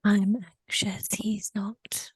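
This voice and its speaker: A woman whispering a slow first-person recollection in a guided session, often repeating short phrases. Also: medium pitch, level tone, husky, no room sound.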